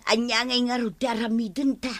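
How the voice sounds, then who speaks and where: A woman acting a hesitant but willing village schemer with an Ilocano accent, animated and exclamatory, in a comedy radio drama. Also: on the high side, a little fast, natural voice. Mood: worried, persuading.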